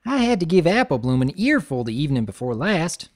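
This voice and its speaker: A man's voice: fairly high pitch, big pitch swings, a little fast. A man doing a folksy Southern farm mare. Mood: hearty. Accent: Southern US drawl put on. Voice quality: folksy, drawling. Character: hardworking cheerful adult farm pony mare.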